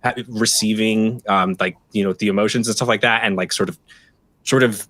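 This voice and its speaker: A man's voice: on the low side, fairly even intonation, a little fast, fairly bright tone. A man chatting casually and enthusiastically on a live stream, rambling with lots of "like" and "sort of". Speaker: sound designer and musician on an audio drama.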